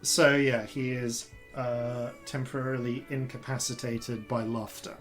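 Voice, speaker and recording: A man who grew up in Yorkshire, with an RP accent touched by Yorkshire vowels, running a tabletop game as dungeon master in halting, filler-heavy descriptions. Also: mid-range voice, little pitch movement, faint music behind. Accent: RP with Yorkshire traces. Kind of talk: improvised tabletop game narration.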